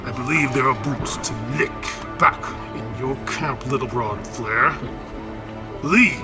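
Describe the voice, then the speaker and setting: A man playing a tall, muscular orc commander, stern and measured, in a fantasy audio drama. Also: medium pitch, natural voice. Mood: menacing. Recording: loud music bed under voice.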